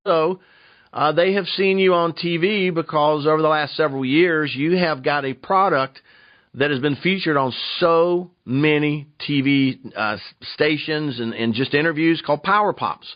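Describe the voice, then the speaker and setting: A man's voice: on the high side, moderate pace, no echo. A man hosting a radio interview in a conversational way, affirming points and repeating phrases for emphasis. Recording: dull lo-fi radio sound.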